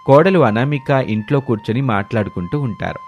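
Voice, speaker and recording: Casting: male storyteller, mid-pitched, scripted moral-tale narration with background music. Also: expressive, fairly quick, darkish tone.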